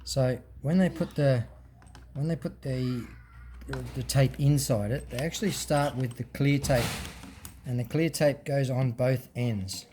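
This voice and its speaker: A man's voice: medium pitch, a little slow, dark tone, a little hiss or hum. A man casually explaining a hands-on teardown, talking off the cuff with frequent ums and restarts. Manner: unscripted explaining with fillers and restarts. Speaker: hobbyist presenter. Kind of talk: casual show-and-tell teardown.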